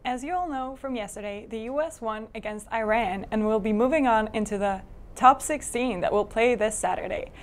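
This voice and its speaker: A teenage girl from Poland reads club news as a student anchor in a school TV studio. Her voice sits fairly high. She reads in an upbeat, steady way. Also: normal speed. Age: teens. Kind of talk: reading school announcements.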